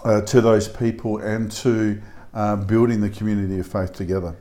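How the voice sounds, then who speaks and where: A man's voice: on the low side, level tone, moderate pace, warm tone. A man who is a pastor, co-hosting a casual podcast discussion in an earnest, emphatic way with frequent fillers.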